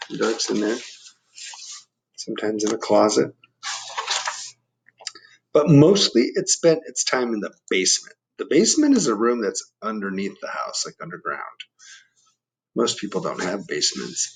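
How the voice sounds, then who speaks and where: Male storybook reader for children, very varied intonation, gravelly edge, conversational and explanatory. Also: on the low side, poor audio. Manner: explains and asks the listeners questions. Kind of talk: read-aloud for children with chatty asides.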